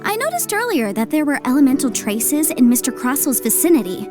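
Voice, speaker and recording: A woman's voice: sits high, very animated, brisk. A woman playing a shrewd, confident young legal adviser in an anime-style video game, articulate, explanatory and businesslike. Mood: deductive. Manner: articulate, explanatory, self-assured. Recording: soft music behind the voice. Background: game music.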